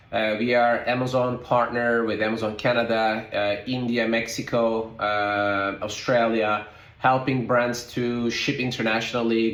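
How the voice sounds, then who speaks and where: A man's voice: medium pitch, a bit bright, roomy sound. A man from Turkey, a guest in an interview, answering in loose phrasing with many "uh" fillers. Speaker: guest, logistics company founder.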